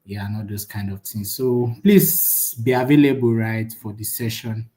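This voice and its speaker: A man's voice: fairly low pitch, normal speed. A young man teaching a live online class in a chatty, casual way, often checking in with the audience. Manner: chatty, frequent "right?" tags, lively jumps in loudness. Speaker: online course instructor.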